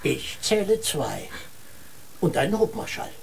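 An actor playing a dutiful, formal and deferential court marshal of a make-believe court in a German children's radio drama. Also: male voice, on the high side, big pitch swings, slow, creaky, a little hiss or hum, full character voice. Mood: dutiful, dry.

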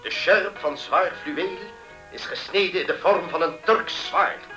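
An actor playing a vain, self-important fashion designer in a 1970s radio play, pompous and easily indignant. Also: male voice, on the high side, a little slow, pushed and bright, faint music behind, low-quality recording. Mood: grandly presenting.

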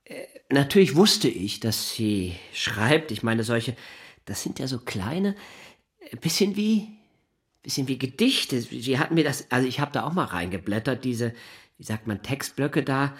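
An actor playing a flustered, talkative middle-aged newspaper science editor in a naturalistic radio drama, halting and digressive, with a husky voice. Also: male voice, medium pitch, expressive. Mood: awkward, hesitant. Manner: halting, digressive, breaks off sentences.

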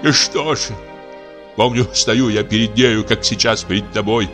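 A man's voice: mid-range voice, takes it slowly, voice pushed up, close to an everyday voice. Man acting a maudlin, drunken old stage comic. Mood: rapturous, wistful. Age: 50s. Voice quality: breathless, trembling. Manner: feverish theatrical lamenting. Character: drunken lonely 58-year-old comic actor, maudlin and frightened. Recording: music under the voice. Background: music.

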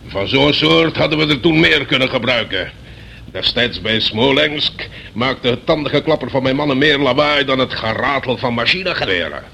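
A man's voice: mid-range voice, expressive, normal speed, bright, forceful tone. An actor playing a pompous, blustering old retired general in a radio play, repeating himself as he reminisces. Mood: pompous, reminiscing. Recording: creaky radio drama recording with background hiss.

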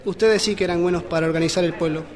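High-pitched young man acting an earnest revolutionary. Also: steady tone, racing, close to an everyday voice. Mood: glad. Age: teenager or young adult. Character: Mariano Moreno, earnest, suspicious revolutionary politician. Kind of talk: amateur student radio play. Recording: busy crowd noise behind voice.

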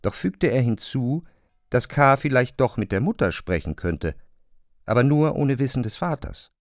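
A man narrates a literary radio play in a measured, deliberate way. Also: medium pitch, animated, no echo, clean studio sound. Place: studio.

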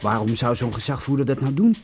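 A man's voice: mid-range voice, animated, quick pace, a little mellow, close to an everyday voice. A man with a Netherlands Dutch accent playing a naive, deferential young police rookie in a radio play, hesitant and full of questions. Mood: puzzled, eager.

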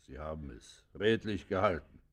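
Very deep, solemn confessor. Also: male voice, expressive, slightly rough, heavily acted. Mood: probing. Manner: solemn, measured, formal. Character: grave, pious male confessor questioning a condemned criminal. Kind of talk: German radio play acting, formal dialogue.

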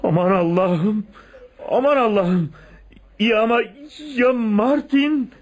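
An actor playing a lovestruck, obsessive police inspector turned killer in a theatrical radio drama. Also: male voice, sits high, takes it slowly. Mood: alarmed, shocked. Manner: theatrical, swinging from muttering to agitated cries. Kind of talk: Turkish radio theatre acting. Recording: noisy radio drama recording with clicks.

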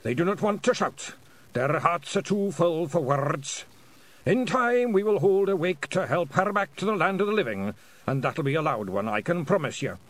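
A man's voice: a little high, animated, no room sound, voice pushed up, lightly acted. Man acting a solemn Scottish pixie bard, rolling Rs. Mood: solemn, proud. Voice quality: rolled drum-roll Rs. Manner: clear, slow, careful speech. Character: small clan bard, polite, solemn, proud pixie man.

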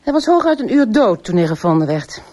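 An actress playing a dry, teasing police officer in a radio drama, casual and matter-of-fact. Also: female voice, medium pitch, very animated, brisk, warm tone.

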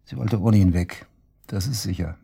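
Casting: cocky shady suspect, dark low husky voice, taunting and coarse, radio play. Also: male voice, moderate pace, heavily acted. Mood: cynical, musing. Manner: taunting, cynical, coarse colloquial talk. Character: cocky, sneering male murder suspect, shady club worker. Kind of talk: German radio drama acting, interrogation dialogue.

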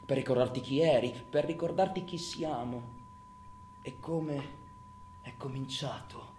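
An actor playing a tormented, volatile criminal in a theatrical monologue, foul-mouthed and swinging between muttering and outbursts. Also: male voice, mid-range voice, unhurried, darkish tone, lightly acted. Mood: brooding, solemn. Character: tormented, volatile criminal man, taunting and cursing himself. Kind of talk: theatrical monologue, radio stage drama. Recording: roomy echo, faint background noise.

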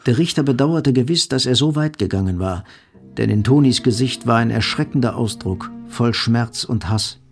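A man's voice: medium pitch, moderate pace. A man narrating a scripted German audio drama as its storyteller. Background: music.

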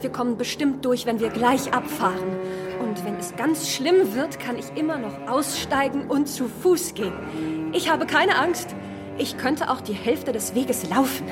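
An eager young wife in a radio play with a high voice, hurrying through her plans. Music plays clearly underneath. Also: animated. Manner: eager, hurried. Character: fussy young wife, anxious yet brave. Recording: music behind the voice.

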